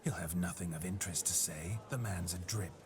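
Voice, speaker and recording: A weary monster hunter voiced by a man in a game cutscene. He talks low and terse, with a dry edge. Music runs behind him. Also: brisk, natural voice. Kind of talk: video game cutscene acting.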